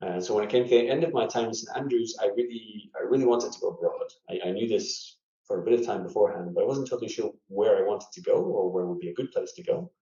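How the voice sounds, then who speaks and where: Scottish guy on a podcast call, mellow low voice, lots of uhs, roomy dull sound. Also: fast talker. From Scotland. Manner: thoughtful, hesitant with frequent fillers and restarts. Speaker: guest scientist. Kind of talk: casual interview. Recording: echoey room, dull lo-fi audio.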